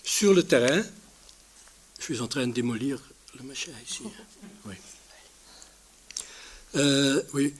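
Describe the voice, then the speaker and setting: Slow, halting man with a Dutch accent. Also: medium pitch, lively intonation, breathy edge. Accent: Dutch-speaking, non-native French. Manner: halting, searching for words. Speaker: conference speaker from a healthcare organisation. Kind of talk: conference presentation.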